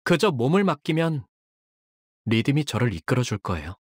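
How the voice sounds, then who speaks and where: An actor voicing a young male fighter in a video game, polite and soft-spoken with dramatic delivery. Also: mid-range voice, very animated, normal speed, husky, no echo, studio quality. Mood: polite, upbeat. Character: earnest, anxious young man, a devoted shielding fighter; tormented young male zealot who purifies with fire. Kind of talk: video game character voice lines.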